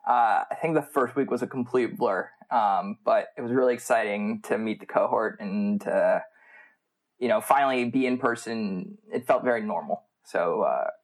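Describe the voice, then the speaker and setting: A man's voice: lowish voice, moderate pace. A man with an American accent, a student guest answering interview questions in a casual, conversational style. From United States. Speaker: MBA student, podcast guest.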